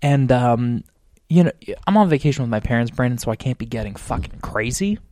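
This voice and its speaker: A man's voice: mid-range voice, lively intonation, brisk, a little mellow, no echo. A man in his late twenties co-hosting a casual podcast over drinks, rambling off on tangents and swearing freely. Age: 20s. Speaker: podcast co-host.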